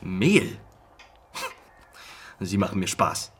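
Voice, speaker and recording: An actor playing a brash, shifty young trucker in a German radio crime drama, talking brusquely in pushy slang. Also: male voice, low voice, huge pitch swings, extremely slow, fairly bright tone, breathy edge, exaggerated voice. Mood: insistent. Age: young adult.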